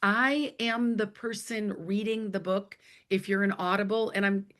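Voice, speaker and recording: Hoarse-voiced woman explaining in an interview. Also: medium pitch, fairly even intonation, measured pace, a bit bright. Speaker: interview guest, author and executive coach.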